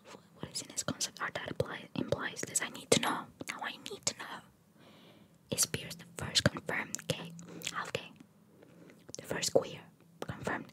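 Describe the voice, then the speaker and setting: A woman whispering very close to the microphone as an ASMR host, reading trivia aloud with casual, rambling asides and softly repeated phrases. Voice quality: breathy unvoiced whisper. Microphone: very close microphone, cupped by hand.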